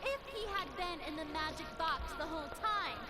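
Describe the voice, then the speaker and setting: A woman's voice: extremely high pitch, fairly quick. A woman playing a haughty, theatrical young female accuser in an anime game, with a taunting manner. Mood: smug. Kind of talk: anime game character voice acting. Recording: loud game music behind.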